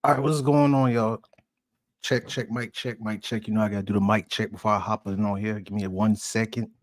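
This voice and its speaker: A man's voice: fairly low pitch, flat delivery, fairly quick, no room sound, studio quality. Laid-back man hosting a livestream. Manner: laid-back, slangy, talks to chat. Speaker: livestream commentary host. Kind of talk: casual livestream chat.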